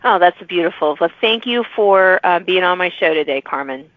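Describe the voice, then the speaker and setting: A woman's voice: fairly low pitch, lively intonation, normal speed, no room sound. A woman interviewer speaks in a conversational, encouraging manner as a podcast host. Her audio is close and dry, with a slightly dull, lo-fi edge.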